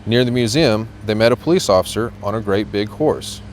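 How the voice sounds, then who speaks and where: American man, middle-aged, fairly low voice, children's read-aloud, faint traffic hum. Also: a little fast. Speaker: guest reader, police officer.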